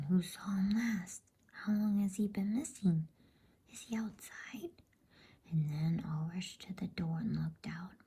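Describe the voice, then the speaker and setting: Slow, breathy whispering woman. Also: low voice. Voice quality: whispered, soft. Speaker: bedtime story reader. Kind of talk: ASMR scripted storybook narration.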